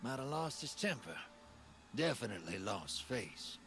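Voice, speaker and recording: A man's voice: medium pitch, big pitch swings, moderate pace, music in the background. A man playing an easygoing, wry roguish drifter in a video game, talking in a casual, folksy, knowing way. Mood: chummy, gossiping. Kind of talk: scripted video game dialogue.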